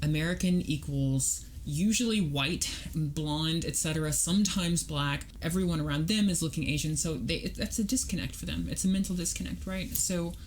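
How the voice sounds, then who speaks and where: A woman's voice: sits low, moderate pace, hardly any pauses, a little hiss or hum. A woman guest on a podcast, talking in a reasoned, explanatory way, laying out her arguments step by step. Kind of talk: casual conversational interview.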